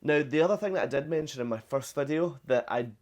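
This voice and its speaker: A man's voice: a little high, fast, darkish tone. Young british guy vlogging on a ship balcony, chatty and upbeat, lots of ums. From Britain. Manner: chatty, upbeat, rambling with fillers. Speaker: travel vlogger. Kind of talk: casual vlog to camera. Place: cruise ship cabin balcony.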